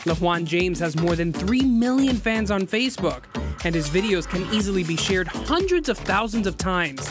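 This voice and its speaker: A man's voice: high-pitched, big pitch swings, normal speed. A man TV news reporter giving a polished, upbeat news report narration. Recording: voice-over with music bed.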